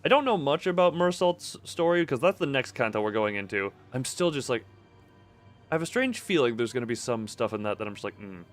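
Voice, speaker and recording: A man's voice: mid-range voice, lively intonation, fairly quick. A man doing casual live commentary as a game streamer, exclamatory and rambling. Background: faint music.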